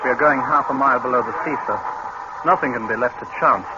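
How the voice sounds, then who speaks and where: A man's voice: mid-range voice, quick pace, close to an everyday voice. A man playing an earnest, exacting young scientist with formal, clipped delivery in 1930s radio drama style. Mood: grave. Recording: very noisy, muffled old radio recording.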